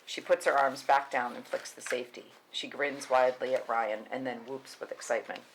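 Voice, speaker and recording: A man's voice: medium pitch, fairly even intonation, brisk, fairly bright tone, a little hiss or hum, poor audio. A man narrating the action lines and scene headings of a screenplay at a table read. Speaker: stage-direction narrator.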